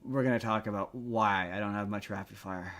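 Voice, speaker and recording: A man's voice: lowish voice, steady tone, brisk, a bit bright. A man co-hosting a podcast in casual chat, rambling and repeating himself for emphasis. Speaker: podcast co-host. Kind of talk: casual chat reviewing movies.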